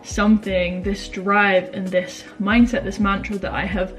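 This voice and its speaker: A woman's voice: medium pitch, little pitch movement, unhurried, faint music behind. A young British woman with a slightly nasal, stuffed-up voice from a cold, talking earnestly to her channel viewers in a chatty, motivational way with lots of fillers. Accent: British English. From United Kingdom. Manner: earnest, chatty, many "like" and "you know" fillers. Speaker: YouTube vlogger. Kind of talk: casual motivational talk to camera.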